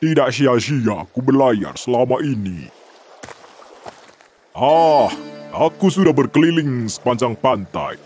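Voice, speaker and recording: A man's voice: mid-range voice, animated, slow pace, long pauses, darkish tone, faint music behind. A man playing a big, sly, confident seafaring envoy in a children's story, speaking in a smug, formal manner. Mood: scheming.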